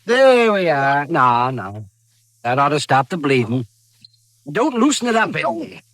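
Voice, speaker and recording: A man's voice: on the high side, huge pitch swings, a little slow, fairly bright tone, no echo, full character voice. An American man in a vintage radio western, playing a crusty, weary old town doctor who talks in a folksy, querulous mutter. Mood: fussing, wry. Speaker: radio actor.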